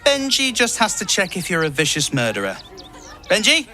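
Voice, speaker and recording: High, wildly swooping circus showman. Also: wildly swooping pitch, moderate pace, bright, forceful tone, a faint crowd, exaggerated voice. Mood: gushing welcome. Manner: theatrical, ingratiating. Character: flamboyant, gushing circus greeter and showman. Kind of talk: video game character acting.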